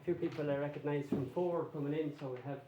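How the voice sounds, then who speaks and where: A man's voice: fairly high pitch, fairly even intonation, fast talker, reverberant, highs cut off, noisy background. A man with an Irish accent giving a public talk to a local history society, explaining things conversationally and checking in with his audience. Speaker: guest lecturer on place names. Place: meeting room with an audience.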